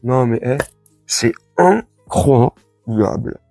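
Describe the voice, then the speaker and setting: A man from France with a husky voice, giving an informal, crude and sarcastic opinion rant to his followers as an online commentator. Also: mid-range voice, lively intonation, drawn-out words, warm tone, dry recording, soft background music. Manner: crude, slangy, sarcastic, indignant.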